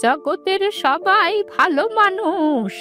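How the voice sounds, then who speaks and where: A woman's voice: extremely high pitch, expressive, takes it slowly, music in the background, exaggerated voice. A woman voicing a worried, scolding old village grandmother in a cartoon fairy tale. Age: older.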